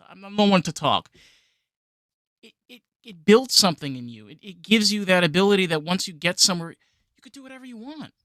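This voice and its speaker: Bright, dry-voiced emphatic podcaster. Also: mid-range voice, lively intonation, brisk, dry recording. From New York. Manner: emphatic, leans on filler phrases. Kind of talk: casual conversation.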